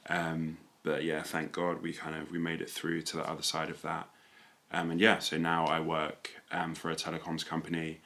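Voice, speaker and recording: A young man with a London accent speaks in a very deep voice with a bright, forceful edge. His pitch stays fairly flat as he chats casually as a co-host. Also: moderate pace. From UK, born in Nigeria and moved at two. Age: young adult. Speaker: video co-host. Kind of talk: casual conversational talk. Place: small furnished room, indoors.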